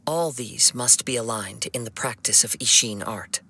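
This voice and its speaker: A man playing a calm young wandering swordsman in a video game, speaking in a measured, formal, solemn and compassionate way. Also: medium pitch, slight background noise. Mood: solemn, composed.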